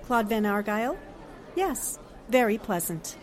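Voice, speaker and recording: Woman acting a poised, high-voiced lady sleuth. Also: animated, measured pace, a faint crowd. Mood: amused, assured. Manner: polished, arch, teasing endearments. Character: poised, shrewd, witty newspaperwoman amateur sleuth. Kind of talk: 1940s radio drama acting.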